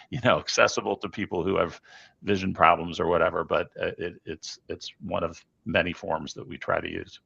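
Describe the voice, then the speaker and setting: A man answering interview questions as a journalist guest, explaining in a measured, hesitant way, on slightly dull call audio. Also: deep voice, steady tone, creaky, bright, forceful tone, husky, dry recording.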